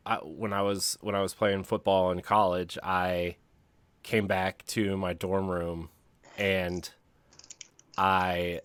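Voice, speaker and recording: A male podcast co-host with a low voice shares personal stories in a casual way. He speaks haltingly, in short broken phrases, and the sound is close and dry. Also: fairly bright tone, no room sound.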